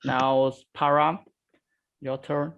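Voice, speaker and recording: A man's voice: medium pitch, flat delivery, slow pace, gravelly, muffled recording. A man in his early twenties with a Vietnamese accent, a native Vietnamese speaker, casually hosting a group podcast conversation. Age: early 20s. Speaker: podcast host. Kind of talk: casual group talk moderating.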